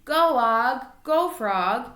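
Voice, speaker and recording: Small male storybook hamster, excitable and dramatic, played by a woman. High voice, echoey room. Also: drawn-out words, heavily acted. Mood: excited, urgent. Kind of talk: first-person children's storybook read-aloud.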